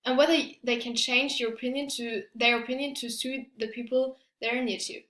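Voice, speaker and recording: Girl student doing a class presentation, kinda high voice, trips over words, echoey room. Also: fairly even intonation, a bit bright. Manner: halting, stumbling over words. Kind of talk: prepared academic presentation.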